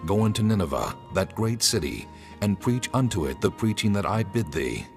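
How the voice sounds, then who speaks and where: A man performs the stern voice of God for a scripture drama. It is very low and creaky, with sweeping intonation and commanding weight. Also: sing-song, normal speed, soft background music. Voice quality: deep, gravelly. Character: God, stern commanding deep male divine voice.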